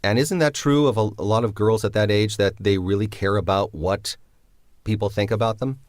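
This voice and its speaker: Deep-voiced man, reflective and hesitant. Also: steady tone, normal speed, no room sound, clean studio sound. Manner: reflective, hesitant, many fillers. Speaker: writer of the drama. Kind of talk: interview answers.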